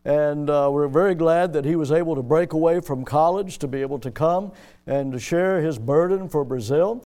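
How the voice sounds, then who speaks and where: A pastor stands before his congregation to introduce a visiting speaker. He talks in an unhurried, halting way, pausing now and then to find his words. His voice sits a little high for a man, but it is mellow in tone and clean. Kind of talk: introducing a guest speaker in a church service. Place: church service.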